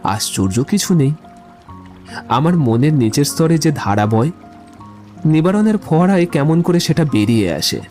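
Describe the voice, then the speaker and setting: A man playing a romantic, brooding poet in a literary audio drama, speaking in a reflective, measured, lyrical way. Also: on the high side, sing-song, dark tone. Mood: earnest. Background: music.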